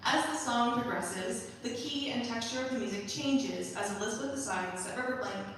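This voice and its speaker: A woman giving a measured, explanatory scripted introduction to songs at a staged reading of a musical. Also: a little high, slight background noise. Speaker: presenter of her own musical. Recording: very echoey hall, distant, faint music.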